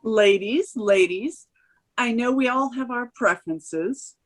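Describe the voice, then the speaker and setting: A woman's voice: a little high, takes it slowly. An actress playing a bossy, teasing woman in her fifties at a screenplay table read. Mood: placating, bossy.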